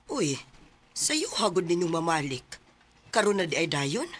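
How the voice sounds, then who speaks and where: A woman's voice: fairly low pitch, sing-song, measured pace, soft background music. An actress playing a fond middle-aged mother in a radio drama, with a Cebuano accent. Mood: matter-of-fact. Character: Roy's mother, fond middle-aged housewife welcoming guest. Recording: noisy recording with clicks.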